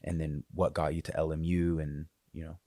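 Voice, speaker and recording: A young man hosting a podcast, asking questions in a relaxed, loosely phrased way. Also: a bass voice, moderate pace. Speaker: podcast host. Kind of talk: interview questions.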